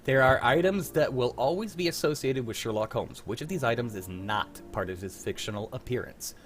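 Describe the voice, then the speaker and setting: A man's voice: medium pitch, fairly quick, soft background music. A man hosting a casual quiz in a joking, crude manner. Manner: crude, jokey. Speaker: quiz host.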